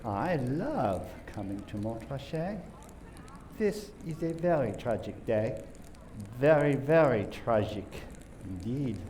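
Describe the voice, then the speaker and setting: Man playing a pompous, slow, swooping Frenchman. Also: mid-range voice, very animated, a little mellow, slight background noise. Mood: mournful. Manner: theatrical, drawn-out, repetitive emphasis. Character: pompous, self-important Frenchman boasting of his famous ancestry. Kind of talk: community theater radio play acting.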